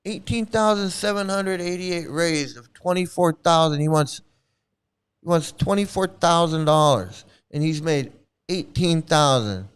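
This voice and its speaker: A man's voice: on the high side, unhurried, smooth. A middle-aged man ranting in casual commentary, profane and scornful, repeating words and trailing off into restarts. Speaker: commentary channel host.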